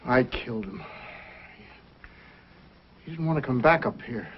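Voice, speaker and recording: A man's voice: mid-range voice, animated, a little slow, slight background noise, close to an everyday voice. An actor playing an anguished, guilt-ridden frontier man in an old-time radio drama, with emphatic, emotional delivery. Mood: anguished, bitter. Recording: dull, husky old radio recording.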